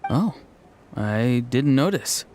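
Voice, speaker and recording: An actor playing an earnest, contrite young hero and grandson in a video game, speaking sincerely and a little hesitantly. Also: male voice, medium pitch, sing-song, slow, noisy background. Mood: subdued. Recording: clear game music behind the voice.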